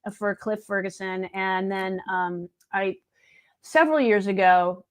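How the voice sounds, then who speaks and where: Woman, late 50s, conversational storyteller with an average-pitched voice, slipping into quoted dialogue as she goes. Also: steady tone, measured pace. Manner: chatty, anecdotal, acts out quoted dialogue. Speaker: guest, singer and video editor. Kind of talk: casual chat, storytelling.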